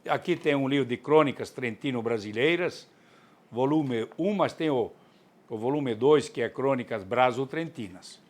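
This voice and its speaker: An older man from southern Brazil answers an interviewer with steady enthusiasm. He talks in earnest, leaning on frequent little tags as he goes. His voice sits at an ordinary middle pitch for a man. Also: moderate pace. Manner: frequent tag "né". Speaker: interviewee, author.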